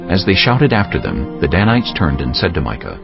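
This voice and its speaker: Deep, gravelly male scripture narrator. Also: sing-song, a little fast. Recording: muffled audio with prominent music underneath. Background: music.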